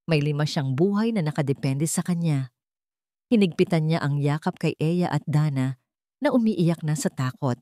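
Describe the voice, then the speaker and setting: A woman's voice: deep voice, moderate pace, mellow, no echo, studio quality. A woman narrating a scripted dramatic story as a storyteller.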